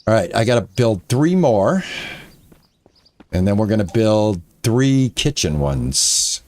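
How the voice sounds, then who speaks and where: A man in his late sixties chatting casually to his viewers while streaming a farming game, rambling with frequent pauses. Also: lowish voice, very animated. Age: 60s. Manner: soft and quiet while petting his cat. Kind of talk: casual live-stream chat while playing.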